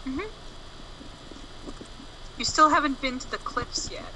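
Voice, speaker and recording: A woman's voice: sits high, slow, bright, forceful tone, slight background noise, low-quality recording. A woman co-commentating a let's play, teasing and sarcastically backseat-gaming the player. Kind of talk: casual gameplay commentary.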